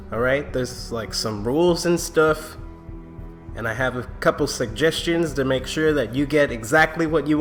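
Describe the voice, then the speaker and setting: A man's voice: a little high, fairly bright tone. A young man with a stuffy nose, chatting casually to viewers in a rambling way full of fillers and restarts. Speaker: YouTube channel creator. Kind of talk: casual vlog address to viewers.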